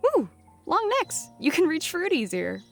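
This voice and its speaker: A woman's voice: very high voice, huge pitch swings, measured pace, vocal fry, soft background music, exaggerated voice. A woman playing a naive, perky fantasy adventurer with a bubbly, artless way of talking.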